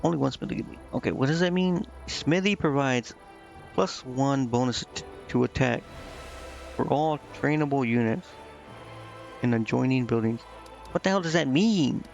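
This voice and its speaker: A man with an average-pitched voice plays a game on stream and talks himself through it. His delivery is casual and muttered, like someone working out a puzzle aloud. The sound is a little dull, with music softly playing underneath. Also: moderate pace, creaky. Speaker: livestreamer playing a strategy role-playing game. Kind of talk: casual gaming stream commentary, thinking aloud.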